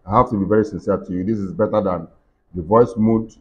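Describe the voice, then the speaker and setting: Guy doing a casual tech tutorial, husky voice, kinda muffled lo-fi mic. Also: lowish voice, steady tone, fast talker. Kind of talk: casual software tutorial, talking to viewers. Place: small indoor room. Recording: dull, muffled lo-fi sound.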